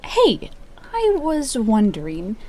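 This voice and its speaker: A bubbly new assistant. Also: female voice, fairly high pitch, wildly swooping pitch, slow pace, dark tone, a little hiss or hum, heavily acted. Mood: eager. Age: young adult. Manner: eager, bubbly, a little flustered. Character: new young female assistant, eager and bubbly. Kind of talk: audio drama dialogue.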